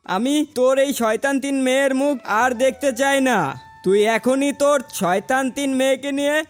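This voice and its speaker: A man's voice: extremely high pitch, normal speed. A man voicing a harsh, angry fox father in a cartoon, scolding and threatening in a dramatic way. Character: angry, harsh male fox father who scorns daughters. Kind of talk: dramatic children's cartoon dialogue. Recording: background music under voice.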